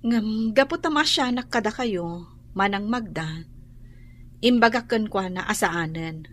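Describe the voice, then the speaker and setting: An actress with an Ilocano accent playing an aggrieved, firm but forbearing woman homeowner in a radio drama, measured and reproachful. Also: medium pitch, lively intonation, a little hiss or hum, close to an everyday voice.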